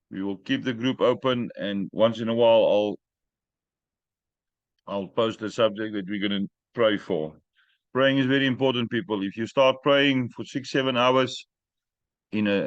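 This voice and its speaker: A man's voice: fairly low pitch, no room sound. Man hosting a muffled online call. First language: not English. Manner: direct, plain-spoken, halting. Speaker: host. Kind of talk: casual group call chat. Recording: dry muffled call audio.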